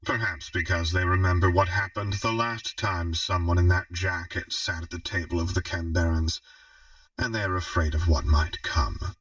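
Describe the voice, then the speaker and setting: A man voicing a shrewd, commanding female crime baron in an audiobook, speaking in formal, measured speeches. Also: sits low, fairly even intonation, a bit bright, no room sound, far from the mic, rough recording. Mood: grim, defiant.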